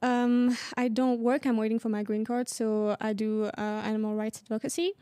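A woman's voice: a little high, steady tone, normal speed, warm tone, clean tone, no room sound. Young woman. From France. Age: 20s. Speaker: guest. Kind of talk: casual radio chat.

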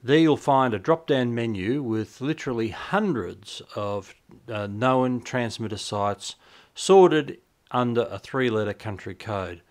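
A man in his fifties presenting an explanatory tutorial in a measured, instructional way, with clear enunciation. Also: medium pitch, lively intonation. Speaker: presenter of a shortwave radio review. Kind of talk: explanatory tutorial to camera and over screen demo. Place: small indoor home radio room.

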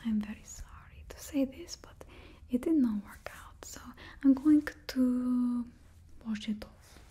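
Woman doing a villain's stylist, put-on Russian accent, close whisper, slow, mellow, blunt but gentle, ASMR. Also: fairly high pitch, slightly rough, full character voice. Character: blunt female stylist for supervillains. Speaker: ASMR roleplay performer. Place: indoors, small studio with painted backdrop. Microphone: close ASMR microphone.

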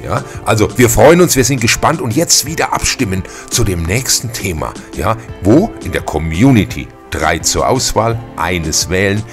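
A man's voice: on the low side, very animated, moderate pace, hardly any pauses. A man hosting a casual discussion podcast, searching for his words now and then as he talks. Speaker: podcast host. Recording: music playing behind the voice. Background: background music.

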